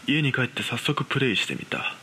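Horror radio drama lead: man playing an ordinary, frightened young guy, measured suspenseful narration, forceful tone, faint hiss. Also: medium pitch, expressive. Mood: puzzled, suspenseful. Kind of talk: dramatised ghost story narration.

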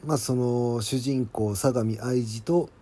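Male podcast host and scriptwriter, soft mellow tone, mid pitch, chatty admiring solo commentary. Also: steady tone, takes it slowly. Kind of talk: casual solo behind-the-scenes commentary.

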